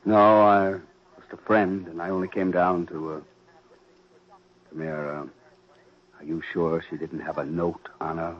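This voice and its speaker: A wealthy, evasive man about town in an old radio drama. He speaks low, hesitant and defensive. The sound is muffled and dated. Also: flat delivery, a little slow, pauses often. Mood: subdued, uneasy. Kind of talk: 1940s radio acting.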